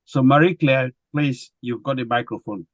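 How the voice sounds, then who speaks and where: A man moderating a webinar, speaking off the cuff and haltingly as he makes introductions and searches for his words. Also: medium pitch, dry recording, dull audio. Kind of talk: unscripted hosting and introductions.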